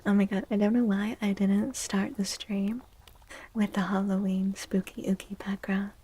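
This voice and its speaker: A woman livestream host chatting in a soft-spoken ASMR style, often dropping into a whisper, with a gentle, playful, cutesy and affectionate manner. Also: medium pitch, little pitch movement, normal speed, a little hiss or hum.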